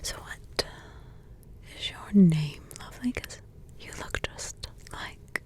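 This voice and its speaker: A woman's voice: a little mellow, close to an everyday voice. Woman whispering as a teasing old witch mother. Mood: teasing, gossipy. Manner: slow, intimate whispering with teasing chuckles. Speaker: ASMR roleplay host. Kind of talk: whispered ASMR roleplay.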